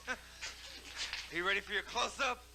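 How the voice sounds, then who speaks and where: A man's voice: high-pitched, slow, vocal fry, noisy background, full character voice. A man playing a gloating killer who taunts captives like a mocking showman in a found-footage horror film. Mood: gloating menace.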